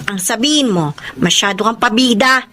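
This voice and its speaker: A woman's voice: a little high, expressive, moderate pace, sharp tone, a little hiss or hum. A woman playing an overburdened young breadwinner daughter in a Filipino radio drama, emotional, weary and firm. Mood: exasperated scolding. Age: young adult. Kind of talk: Tagalog radio drama acting.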